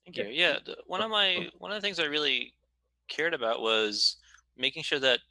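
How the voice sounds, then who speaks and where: A man who writes games, talking casually on a developer panel in an animated, emphatic way. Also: fairly high pitch, moderate pace, creaky, no echo, rough recording.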